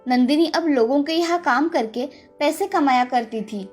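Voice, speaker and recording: A woman's voice: high-pitched, normal speed, soft background music. A woman narrator reading a scripted moral story for children.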